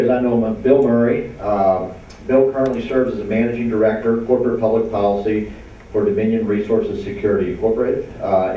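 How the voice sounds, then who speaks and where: A man's voice: fairly low pitch, a little hiss or hum. A man moderating a panel, introducing the next speaker in a casual way with joking asides. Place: large meeting room. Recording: far-off, dull, echoey hall.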